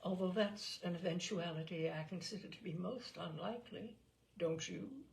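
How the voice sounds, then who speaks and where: A woman's voice: fairly low pitch, normal speed, creaky, low-quality recording. A woman voicing a mild, bemused male colonel who murmurs almost inaudibly in a storybook reading. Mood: mildly doubtful. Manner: hushed, murmuring, nearly inaudible.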